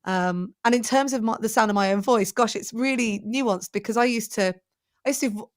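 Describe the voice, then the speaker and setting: A woman's voice: a little high, a little fast. Enthusiastic woman thinking aloud. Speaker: presenting coach, guest. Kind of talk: conversational podcast interview.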